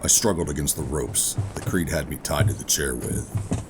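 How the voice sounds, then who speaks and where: An actor playing a bitter, defiant sci-fi operative in a noir audio drama, brooding and deliberate in delivery. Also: male voice, noisy background. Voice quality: very deep, gravelly. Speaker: narrator and lead character. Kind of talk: noir first-person audio drama narration and dialogue. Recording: dramatic music behind the voice.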